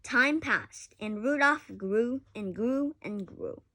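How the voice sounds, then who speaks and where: A woman's voice: fairly high pitch, animated, takes it slowly, bright, forceful tone. A woman reading a children's picture book aloud expressively, with occasional stumbles and self-corrections. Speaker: story reader.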